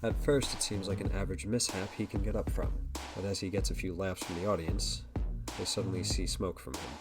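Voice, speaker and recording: A man's voice: low-pitched, steady tone, brisk, lightly acted. A man narrating a superhero audio drama in a scripted storytelling style. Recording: music under the voice. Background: prominent guitar music.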